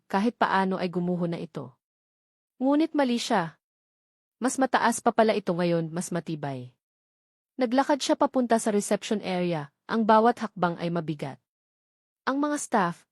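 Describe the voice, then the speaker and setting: A woman's voice: mid-range voice, no room sound. Filipino woman narrating romance, dry recording. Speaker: audiobook narrator. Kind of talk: scripted dramatic romance story narration.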